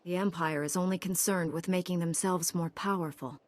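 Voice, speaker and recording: An actress playing a principled, calm young heroine in a science-fantasy video game, sincere and plain-spoken. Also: female voice, lowish voice, normal speed, lightly acted. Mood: earnest. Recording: music behind the voice.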